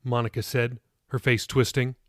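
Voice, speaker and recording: A man's voice: mid-range voice, little pitch movement, normal speed, fairly bright tone, dry recording, studio quality. A man reading scripted material for his own podcast, as author and narrator.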